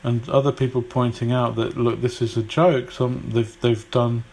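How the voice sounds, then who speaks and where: A man's voice: medium pitch, flat delivery, normal speed, vocal fry, darkish tone, slightly rough. A man from Britain whose British English accent carries some Polish influence, teaching an informal English lesson in a rambling, hesitant way with many fillers and restarts.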